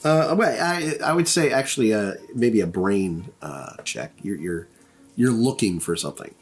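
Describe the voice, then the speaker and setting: A man's voice: lowish voice, animated, moderate pace, soft background music. A man narrating and refereeing a tabletop game as game master.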